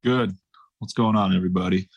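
A man in his thirties, a professional basketball player, answering interview questions in a relaxed, thoughtful way. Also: low voice, fairly quick. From grew up across Asia, mostly Taiwan.

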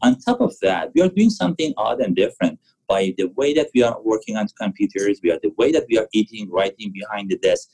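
A man in his forties from Iran, a physician answering interview questions in halting, with frequent restarts. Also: fairly low pitch, animated, darkish tone, rough recording. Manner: halting, restarts often, non-native phrasing. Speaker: physician guest.

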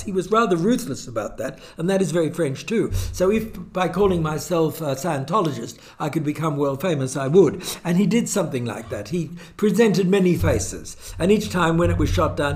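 Older aussie guy lecturing in a chat, wry, kinda high voice. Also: a little mellow. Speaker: guest philosopher. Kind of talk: discursive academic conversation.

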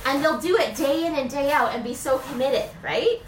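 A woman's voice: high-pitched, lively intonation, normal speed, roomy sound, slight background noise. A woman who runs a business, talking in a casual, rambling monologue full of fillers and "right?" tags. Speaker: business owner.

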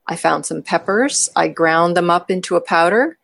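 A woman's voice: lowish voice, normal speed, gravelly, fairly bright tone, dry recording. A woman playing a mischievous, eccentric woman chatting with a friend in an audio drama. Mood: wry, storytelling. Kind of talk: amateur audio drama dialogue.

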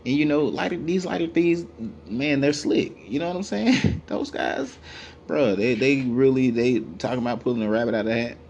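A man with a naturally deep voice delivering a rambling, repetitive, profane comedic rant, full of fillers. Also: normal speed, a little mellow, muffled recording, slight background noise.

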